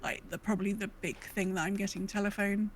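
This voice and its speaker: A woman co-hosting a podcast, chatting casually in a measured, agreeable manner. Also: mid-range voice, steady tone, a little hiss or hum. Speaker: podcast co-host. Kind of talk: casual chat.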